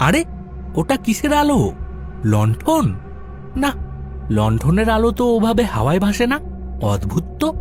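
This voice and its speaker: A male actor plays an inquisitive young city man in a cartoon story. His voice is high and very lively in pitch. He presses his questions stubbornly, with music behind him. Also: normal speed, pauses often. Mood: puzzled, uneasy. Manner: questioning, persistent. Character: curious, stubborn young city man visiting village relatives. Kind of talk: animated audio story dialogue.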